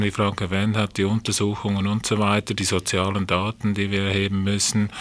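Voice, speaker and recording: Low, flat-toned man explaining in an interview. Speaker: clinic team member being interviewed.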